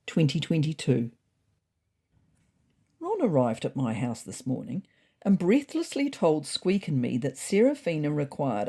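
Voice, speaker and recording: A woman's voice: deep voice, mellow, exaggerated voice. A woman voicing a thoughtful, slightly neurotic cat reading out a comic diary, dry and mildly exasperated. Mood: wry, suspicious. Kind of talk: scripted comic diary narration.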